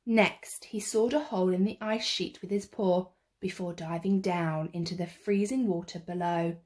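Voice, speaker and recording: A woman reading a children's picture book aloud in an expressive, theatrical way, drawing out the action words. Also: lowish voice, moderate pace. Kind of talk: expressive read-aloud storytelling.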